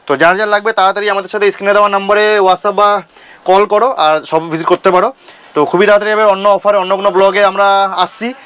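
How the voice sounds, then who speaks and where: A man speaks. He delivers an informal vlog-style sales pitch as the host, going back over key details with emphasis. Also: flat delivery, quick pace, a bit bright, clean tone. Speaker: shop owner and vlog host.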